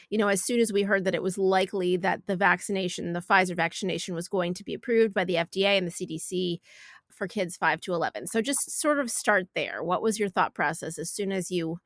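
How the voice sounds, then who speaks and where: A woman's voice: mid-range voice, dry recording, studio quality. A woman hosting a podcast and interviewing in a conversational way. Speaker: podcast host.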